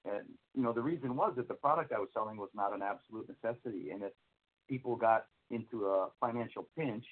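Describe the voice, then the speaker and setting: A man in his fifties from the American Midwest, a guest on a phone call, tells his story in a friendly, earnest way with small restarts. His voice sits a bit low. It comes through a tinny, narrow phone line. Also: quick pace. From United States (Minnesota, Iowa). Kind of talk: telling his career story.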